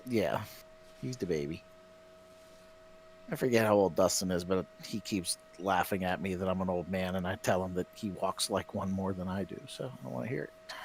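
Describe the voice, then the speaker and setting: A man's voice: low-pitched, normal speed, creaky, a little hiss or hum, poor audio. A man in his fifties hosting a casual chat while painting, full of self-mocking jokes and banter. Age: 50s. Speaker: stream host.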